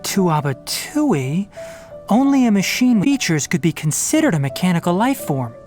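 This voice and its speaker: An actor playing a calm, analytical young forest ranger scholar in a video game, explaining things in a measured way. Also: male voice, high-pitched, soft background music. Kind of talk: video game cutscene voice acting.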